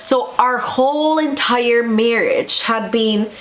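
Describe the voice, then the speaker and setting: Higher-voiced Colombian woman, earnest storyteller. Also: slow, a little hiss or hum. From Bogota, Colombia. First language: Spanish. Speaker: vlog co-host, pastor. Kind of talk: conversational personal storytelling.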